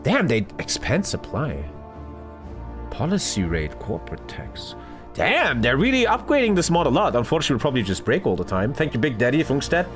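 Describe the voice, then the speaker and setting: Loud swearing man with wildly jumping pitch. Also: huge pitch swings, fairly quick. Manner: loud, swearing, jumpy rants to chat. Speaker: streamer. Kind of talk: live game stream commentary. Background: game music.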